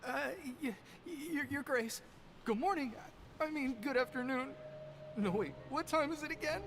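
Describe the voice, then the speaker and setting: Nervous young prison guard: stammering, apologetic, high-pitched, game music behind. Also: female voice, expressive, normal speed. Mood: flustered. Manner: stammering, breathless. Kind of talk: game voice acting.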